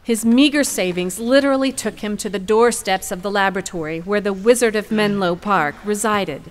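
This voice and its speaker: A woman's voice: mid-range voice, normal speed, lightly acted. A woman acting as a poised, combative attorney in a courtroom audio drama, speaking formally and eloquently with a pointed edge. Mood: eloquent, composed.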